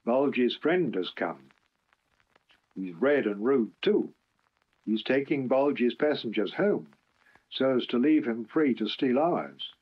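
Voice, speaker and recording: Storybook engine, played by a male reader: fretful, indignant, husky and highly animated. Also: slow. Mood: anxious. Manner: shrill, worried. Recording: dull lo-fi archive recording.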